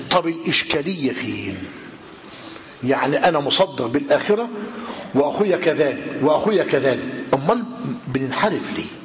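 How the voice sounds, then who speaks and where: An older man with an Egyptian Arabic accent, giving a religious lesson in a hall and questioning his listeners, slowing down when he quotes classical texts. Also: high-pitched. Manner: lively rhetorical questioning of the audience. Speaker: preacher. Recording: reverberant hall with faint noise.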